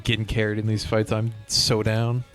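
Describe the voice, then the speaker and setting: A man's voice: lowish voice, completely flat delivery, normal speed, fairly bright tone. Male game streamer, casual, music behind. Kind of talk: casual gameplay commentary.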